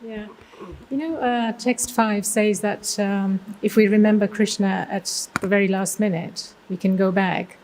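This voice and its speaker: A woman's voice: mid-range voice, fairly even intonation, unhurried, darkish tone, slight background noise, low-quality recording. A woman in a class asking a question, hesitant and searching for words.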